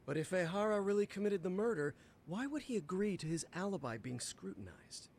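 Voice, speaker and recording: A haughty, sarcastic prosecutor. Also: male voice, high-pitched, very animated, fairly quick, a little mellow, full character voice. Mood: condescending. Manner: condescending, sarcastic. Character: haughty, condescending male prosecutor.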